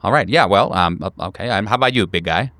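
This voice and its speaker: A man's voice: fairly low pitch, fast, fairly bright tone, no room sound, close to an everyday voice. A man voicing an eager, flighty bachelor writer who hesitates and repeats himself as he fusses over words. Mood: nervous, eager.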